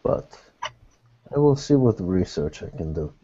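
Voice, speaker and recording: A man speaking in character in a tabletop roleplay, with formal, measured wording, on muffled low-fidelity audio. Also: big pitch swings, dark tone, slightly rough. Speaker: game master playing a character in a tabletop roleplay.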